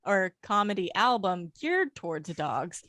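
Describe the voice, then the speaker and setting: A woman's voice: mid-range voice, very animated, unhurried, a bit bright. A woman co-hosting a casual video-call interview, giggly and eager in her questions. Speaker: podcast co-host.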